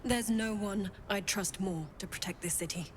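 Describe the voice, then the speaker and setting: A woman's voice: mid-range voice, normal speed, a little hiss or hum, lightly acted. A woman voicing a devout, noble warrior priestess in a video game, formal and earnest. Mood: earnest, resolute. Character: devout, noble female moon cleric warrior.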